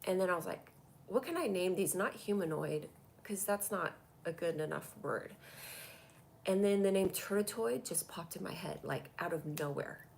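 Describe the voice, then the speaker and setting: A woman's voice: on the low side, lively intonation, moderate pace, vocal fry, darkish tone, slight background noise. A woman with a cold and a stuffy voice, hosting a comedy live stream and chatting through viewer comments on a tablet. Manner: chatty and rambling, reacting to comments. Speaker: comedy show host doing a live stream.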